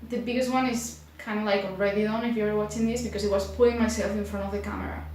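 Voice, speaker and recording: A woman's voice: mid-range voice, fairly even intonation, darkish tone, a little hiss or hum. Spanish-accented woman in an echoey room. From Spain. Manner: unhurried, many filler likes, slightly unsure. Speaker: booktuber. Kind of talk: casual vlog to camera.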